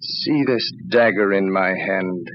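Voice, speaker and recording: A man's voice: sits low, slow, no room sound. An actor playing a vengeful, brooding male spirit in old-time radio horror, speaking solemnly and ominously. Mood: vengeful, menacing. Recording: dull, noisy old radio recording.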